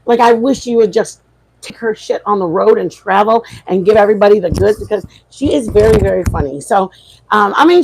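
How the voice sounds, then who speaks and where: A woman's voice: on the high side, breathy edge, poor audio. A woman hosting a livestream from home, sounding congested and rambling chattily with many restarts. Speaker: livestream host. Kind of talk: casual livestream chat. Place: at home, near the kitchen.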